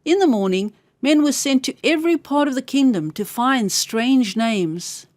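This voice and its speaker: A woman reading a scripted fairy tale for children as a storyteller, expressive and carefully enunciated. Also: high voice, measured pace, no room sound.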